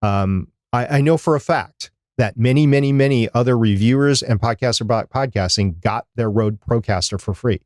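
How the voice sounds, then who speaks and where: A man's voice: medium pitch, moderate pace, few pauses, darkish tone, no echo. A man co-hosting a podcast in casual, opinionated chat, repeating words for emphasis, on a close microphone. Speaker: podcast co-host. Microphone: close studio microphone.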